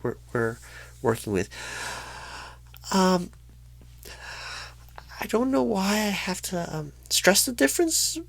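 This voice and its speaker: A woman playing a shy, inexperienced, nervous job recruiter in an ASMR roleplay, halting and stammering in a soft-spoken, awkward way. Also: medium pitch, very animated, creaky, no echo, exaggerated voice.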